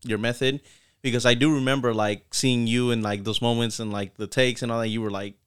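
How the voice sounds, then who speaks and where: A man co-hosting a podcast, chatting quickly and affirming his guest often. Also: mid-range voice, level tone, clear, resonant tone. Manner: quick, affirming, many backchannels. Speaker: podcast co-host. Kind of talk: casual podcast chat.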